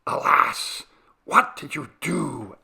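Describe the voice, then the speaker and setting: A man's voice: fairly high pitch, sing-song, takes it slowly, bright, forceful tone, breathy edge, voice pushed up, full character voice. A man voicing an urgent villager in a storybook, pressing his companions insistently. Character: urgent hushed male villager hurrying his companions along. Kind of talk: audiobook story acting.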